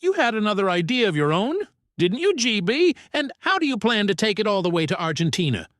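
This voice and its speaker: A man voicing a kindly old grandmother in a children's storybook, teasing gently and sounding amused. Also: sits high, very animated, brisk, no echo, studio quality, voice pushed up. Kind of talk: children's storybook read-aloud.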